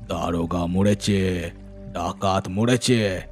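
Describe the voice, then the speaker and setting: A man voicing a grim, brooding bandit gang chief in an animated horror story, measured and commanding. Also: deep voice. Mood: firm, resolute. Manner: measured, authoritative. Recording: music bed behind voice.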